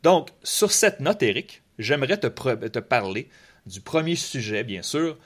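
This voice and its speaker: A man with a Quebec French accent hosting a casual political podcast, relaxed and talkative, often repeating words as he searches for the next one. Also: medium pitch, a little slow. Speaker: podcast host, political columnist. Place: in Quebec City.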